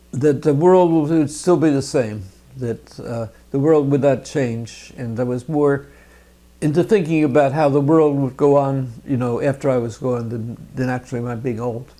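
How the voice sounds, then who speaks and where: A man in his eighties answering slowly and thoughtfully, with light chuckles. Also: on the high side, dark tone. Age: 80s. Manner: slow, musing, with light chuckles. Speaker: interviewee.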